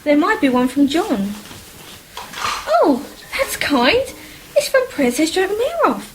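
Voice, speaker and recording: An actress plays a genteel English married woman in a period radio drama. She is high-pitched, homely and polite, chatting away over a faint wash of noise. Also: big pitch swings, measured pace. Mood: interested.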